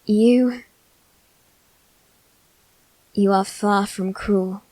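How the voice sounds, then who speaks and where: A woman voicing a melancholy teenage noble boy, resigned to death, in a soft, deliberate ASMR roleplay monologue with dramatic pauses. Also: medium pitch, steady tone, no echo, natural voice, rough recording. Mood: bitter, tender.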